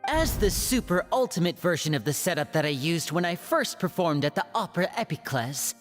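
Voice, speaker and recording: A man performs a suave young magician from a video game with animated, swinging intonation. He is courteous and polished like a showman, his voice ringing in a large hall over music. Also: on the high side, fairly quick, lightly acted. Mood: proud, courteous. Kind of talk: video game character acting. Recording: long hall reverb, music behind.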